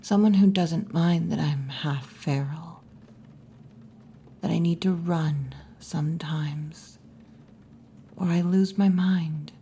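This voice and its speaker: Hushed, low-voiced wolf girl bartender, acted by a woman. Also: a little hiss or hum. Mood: vulnerable, candid. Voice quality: breathy, mostly whispered or half-whispered. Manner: slow, hushed, teasing, with long pauses. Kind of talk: ASMR roleplay, intimate scripted monologue. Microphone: close ASMR microphone.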